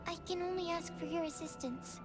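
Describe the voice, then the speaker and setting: Very high-pitched, earnest young alchemist girl. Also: steady tone, a little fast. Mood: polite, earnest. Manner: hesitant, polite, precise wording with stammers. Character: shy, earnest young female alchemist researcher, easily absorbed. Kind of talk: English video game voice acting. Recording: music clearly behind the voice. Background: game music.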